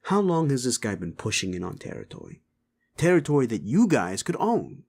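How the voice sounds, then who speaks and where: A man plays a lone-wolf troublemaker in an audio drama. He is brash and quick to defend himself. His delivery swings widely in pitch, with flippant, cocky bite. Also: very animated, vocal fry, a little mellow, husky, heavily acted. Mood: incredulous. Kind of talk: dramatic audio roleplay acting.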